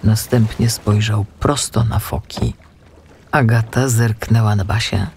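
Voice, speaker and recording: A man narrating a children's audiobook in an expressive, dramatic storytelling manner. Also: lowish voice, unhurried, vocal fry, slight background noise.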